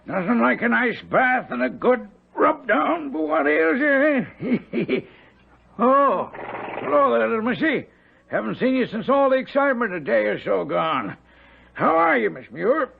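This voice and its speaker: Gravelly, folksy stable hand. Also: male voice, very high voice, sing-song, unhurried, heavily acted. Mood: friendly, easygoing. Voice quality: gravelly, raspy. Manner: folksy, chuckling, familiar. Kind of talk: old-time radio drama acting. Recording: thin phone-like old radio recording.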